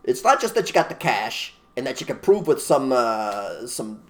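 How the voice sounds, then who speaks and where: A man's voice: mid-range voice, very animated, normal speed. A man co-hosting a casual talk show, ranting emphatically and mimicking people in put-on voices. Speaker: podcast co-host. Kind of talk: opinionated casual chat.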